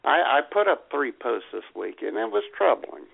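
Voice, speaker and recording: A man with a gravelly voice banters casually, teasing in a mock-dramatic way. His pitch swings very widely as he talks. The audio is narrow and phone-like. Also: sing-song, moderate pace. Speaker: talk show co-host. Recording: narrow phone-like radio sound.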